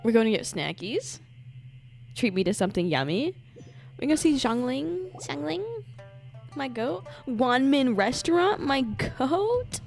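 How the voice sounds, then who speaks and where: A woman's voice: sits high, big pitch swings, unhurried, creaky, darkish tone. A woman streamer doing jokey live game commentary and reading dialogue aloud theatrically. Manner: jokey, playful, theatrical, swears casually. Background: game music.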